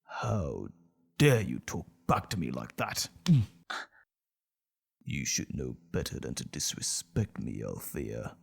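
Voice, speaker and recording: A man's voice: a bass voice, big pitch swings, slow, vocal fry, husky, exaggerated voice. A man playing a stern, domineering middle-aged elf patriarch in a fantasy audio drama, haughty, commanding and theatrical. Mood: cold anger.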